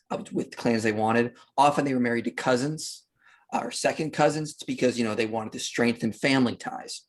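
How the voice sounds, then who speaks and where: Man, podcast guest, animated intonation, husky edge, emphatic explainer, conversational history discussion. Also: mid-range voice. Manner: emphatic, acts out imagined quotes conversationally.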